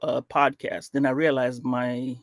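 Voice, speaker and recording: A man from Kenya, with Swahili as his first language, chats as a podcast guest in a loose, rambling way. He keeps stopping to reach for words and fills the gaps with "uh" and "um". His voice is mid-pitched and sits close and dry on the mic. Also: little pitch movement, unhurried, no echo. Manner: rambling, with frequent fillers and restarts. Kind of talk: casual interview chat.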